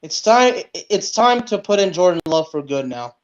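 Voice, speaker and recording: A man's voice: sits high, very animated, normal speed. A man co-hosting a sports podcast, chatting casually about football over dull, lo-fi audio.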